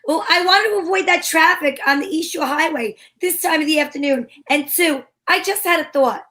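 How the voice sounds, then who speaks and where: A woman's voice: high voice, brisk, pushed and bright. A woman playing a breezy female private investigator in an amateur reading of an old-time radio play.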